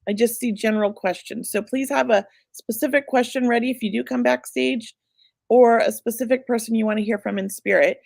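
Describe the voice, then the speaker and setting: A woman hosting a live psychic reading, chatty and reassuring, going a bit hoarse as she loses her voice. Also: on the high side, steady tone, a little fast, a little mellow, no echo, clean studio sound. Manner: chatty, reassuring, many filler words. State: losing her voice. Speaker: psychic medium host.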